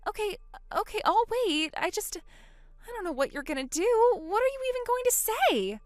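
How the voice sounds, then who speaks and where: A woman's voice: very high voice, sing-song, moderate pace, no room sound, soft background music, voice pushed up. A frightened young woman pleads and panics, as a woman puts her on. Her voice climbs far above the narrator's own and swings widely. The recording is dry and close. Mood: scared.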